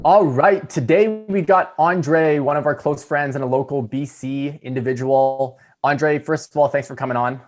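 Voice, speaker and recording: A man's voice: a little high, moderate pace, hardly any pauses, soft background music. A young man hosting a casual startup podcast, talking in an upbeat, enthusiastic way. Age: young adult. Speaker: podcast host.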